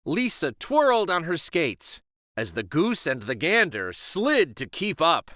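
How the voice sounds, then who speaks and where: A man reading a picture book aloud as a children's story host. His telling is slow and soothing, with a pitch that rises and falls lively and a bright tone. The sound is close and dry. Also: no echo. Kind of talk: storybook read-aloud narration.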